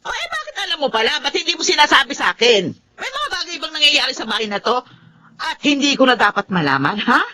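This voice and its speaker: A woman's voice: sits high, very animated, fast, sharp tone, exaggerated voice. An actress playing a demanding, hot-tempered middle-aged Filipino mother in a radio drama, nagging and emotional. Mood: furious.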